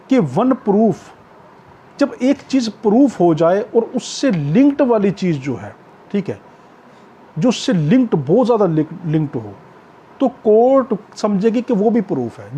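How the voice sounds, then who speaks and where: A man with a Pakistani accent giving an explanatory law lecture, going step by step, repeating points, checking in often and slowing down to read clauses in English. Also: high-pitched, mellow, a little hiss or hum. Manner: repetitive step-by-step explaining with frequent check-ins; switches into slow English when reading out clauses. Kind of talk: explanatory exam-prep lecture, reading clauses and glossing them.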